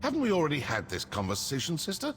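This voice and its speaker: A man's voice: a little high, wildly swooping pitch, quick pace. A man voicing a proud, sardonic assassin in a fantasy video game, mocking and theatrical. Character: proud, sardonic male assassin of a secret brotherhood. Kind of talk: video game character dialogue. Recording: long hall reverb, clear music underneath.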